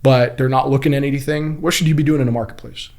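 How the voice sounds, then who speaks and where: A man's voice: mid-range voice, fast talker. A man telling anecdotes casually as an interview guest, in informal, slangy phrasing and acting out what people said. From Kuwait. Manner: animated retelling with acted-out quotes, informal slangy phrasing. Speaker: podcast guest, body-language instructor and former US Marine.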